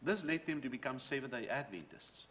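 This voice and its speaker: A man's voice: mid-range voice, expressive, fairly quick, a bit bright. A middle-aged man, a native Dutch speaker, preaching a sermon and telling his own faith story in an earnest, storytelling way. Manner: earnest, storytelling, quotes from books. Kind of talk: sermon with personal testimony.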